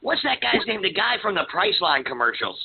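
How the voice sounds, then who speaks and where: A man's voice: high voice, big pitch swings, brisk, gravelly, slight background noise. A man in his forties hosting a casual radio talk show, stammering and poking fun at himself. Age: 40s. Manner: stammering, self-mocking. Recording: muffled phone-like internet radio.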